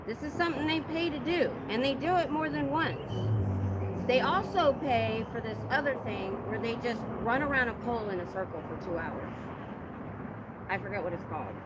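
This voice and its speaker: A woman livestreaming from a city street, chatting casually and reading viewer comments, getting animated and emphatic when indignant. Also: a little high, normal speed. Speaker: livestreaming protester. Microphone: phone held in hand. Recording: phone recording outdoors with street noise. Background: traffic and street noise.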